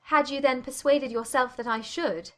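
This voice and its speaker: A woman playing a witty, candid young gentlewoman in a dramatized classic romance audiobook, polite and gently probing in formal period English. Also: high-pitched, steady tone, moderate pace. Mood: modest, curious.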